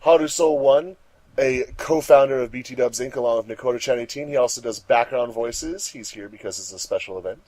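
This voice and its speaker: A man's voice: mid-range voice, fairly quick, darkish tone, no room sound, rough recording. Male host with a mid-range voice. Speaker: host of a fandub commentary track. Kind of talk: casual introductions and chat.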